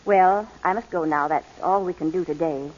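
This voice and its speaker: Actress as a husky, kindly adoption official. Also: female voice, fairly low pitch, natural voice. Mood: businesslike. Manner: formal, measured, reassuring. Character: kindly, businesslike female adoption agency official. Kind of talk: 1940s radio drama acting. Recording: dull, reverberant old radio broadcast.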